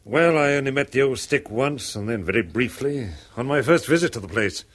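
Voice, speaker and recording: A man's voice: medium pitch, animated, a little fast, a bit bright. An actor playing a flippant, cynical upper-class man in a 1970s radio drama, breezy, sardonic and callously offhand.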